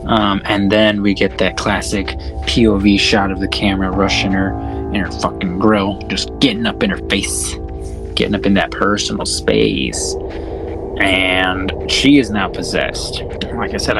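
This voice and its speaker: A man's voice: sits low, moderate pace, music in the background, poor audio. A man co-hosting a casual film discussion podcast, slangy, profane and self-deprecating. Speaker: podcast co-host recapping a film.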